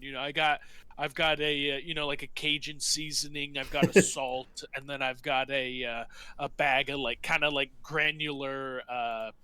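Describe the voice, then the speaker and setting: A man chatting casually as a player in a tabletop game, rambling in an animated, loosely improvised way with some swearing. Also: a little high.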